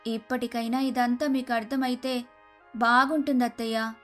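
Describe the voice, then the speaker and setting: A woman playing an outspoken, principled young daughter-in-law in a Telugu animated moral story, speaking in an earnest, preachy way. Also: on the high side, moderate pace, natural voice. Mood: earnest, lecturing. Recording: music under the voice.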